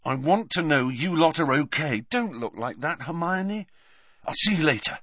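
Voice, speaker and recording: An impatient, stubborn teenage boy wizard acted by a man reading a storybook, pitched up and pressing hard. The delivery is hurried and curt, with big swings in pitch, recorded close and dry. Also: lively intonation, fairly bright tone, breathy edge, no echo. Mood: curt, rushed. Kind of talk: dramatised audiobook reading.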